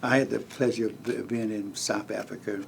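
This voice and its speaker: A man, a pastor, hosting a televised interview, halting with many fillers. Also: on the low side, fairly even intonation, creaky, a little hiss or hum. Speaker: pastor and show host.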